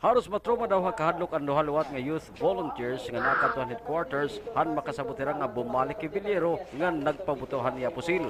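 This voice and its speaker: A man's voice: a little high, fast, few pauses. A man from the reading scripted television news narration as a reporter.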